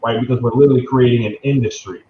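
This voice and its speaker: A man's voice: mid-range voice, steady tone, racing. A man in his early twenties giving confident, salesy explanations as an interview guest, often tagging phrases with "right?". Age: 20s. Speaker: interview guest, young business executive. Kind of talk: conversational interview answer, explanatory pitch. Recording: dull lo-fi sound, roomy echo, faint noise.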